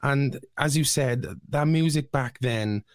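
A man's voice: mid-range voice, slow, clear, resonant tone, no echo, studio quality. A man hosting a podcast, enthusiastically praising a guest's album in casual chat, peppered with "dude" and "mate". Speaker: podcast host.

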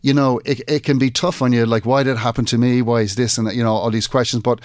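A man, a radio interview guest talking in halting bursts full of restarts and fillers. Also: medium pitch, level tone, no room sound, studio quality. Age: 40s. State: emotional, voice breaking.

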